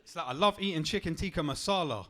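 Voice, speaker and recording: A young man, doing a stand-up comedy set on a club stage with a deadpan, punchy delivery. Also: fast talker. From England. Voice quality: deep voice.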